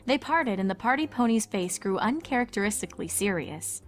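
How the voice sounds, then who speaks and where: A woman's voice: medium pitch, animated, fairly quick. A woman reading scripted third-person story narration as the narrator of a cartoon fan fiction.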